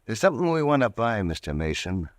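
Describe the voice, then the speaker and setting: A menacing gangster, with a very deep voice and slangy, cold tough-guy talk. The recording is close and dry, in a style of old radio drama. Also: sing-song, fast talker, dry recording. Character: menacing male gangster bribing a clerk. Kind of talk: 1940s radio acting.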